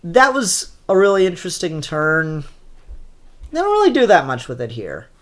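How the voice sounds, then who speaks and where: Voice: medium pitch, wildly swooping pitch, normal speed. A comic book reviewer with a stuffed-up, congested voice, talking through new issues in an offhand way with lots of ums and restarts. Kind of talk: casual spoken comic review.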